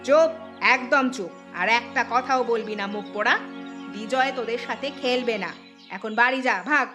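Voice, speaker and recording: A woman plays a mean, scornful cartoon auntie with a high-pitched voice. She nags and scolds sharply, like a sour mother next door. Music plays behind her words. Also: moderate pace, sharp tone. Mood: angry scolding. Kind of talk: cartoon story dialogue.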